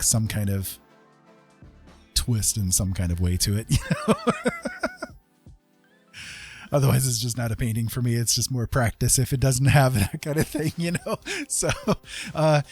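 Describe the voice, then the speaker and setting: A man's voice: medium pitch, a little mellow. A man hosting a casual livestream as a digital artist, talking in a rambling, self-deprecating way with frequent chuckles. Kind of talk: casual livestream chat while painting. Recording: music playing behind the voice. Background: music.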